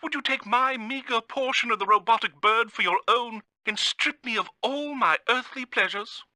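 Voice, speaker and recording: Shrill pompous British bird villain. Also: male voice, normal speed, bright, forceful tone, no room sound, heavily acted. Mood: indignant. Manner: pompous, theatrical, florid. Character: pompous, fussy villainous male bird genius.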